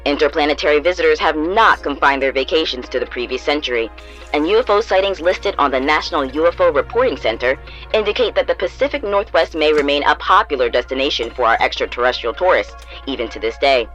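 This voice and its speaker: A man's voice: on the high side, animated, fairly quick, a bit bright, dull audio, soft background music. A man playing a polished, theatrical TV news anchor, reading scripted newscast stories in an audio drama. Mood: wry, dramatic.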